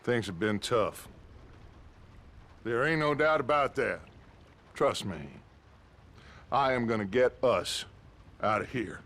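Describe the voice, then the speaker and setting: A man's voice: medium pitch, takes it slowly, pauses often, a bit bright. A man playing a weary, grandiose middle-aged outlaw gang leader in a western video game. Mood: weary, resolute. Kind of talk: video game cinematic acting.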